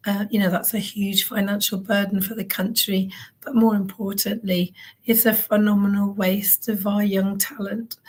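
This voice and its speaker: A woman with a croaky, hoarse voice giving an earnest conference talk on a stage, with frequent ums. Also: mid-range voice. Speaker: keynote speaker on child wellbeing. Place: event stage.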